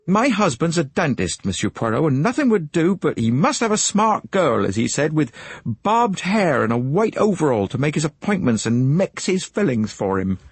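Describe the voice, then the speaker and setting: Male narrator doing a fretful middle-aged wife, raised voice, husky, lively swings, dry sound. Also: on the high side, dry recording, voice pushed up, full character voice. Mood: indignant. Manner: fretful, gossipy, quick to take offence. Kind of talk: audiobook character voice.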